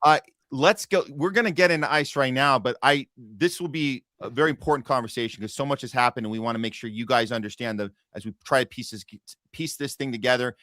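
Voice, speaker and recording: A man's voice: medium pitch, fairly bright tone, dry recording, studio quality. A man hosting a political talk podcast, speaking earnestly and rambling with frequent restarts. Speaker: podcast host. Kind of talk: casual political talk show.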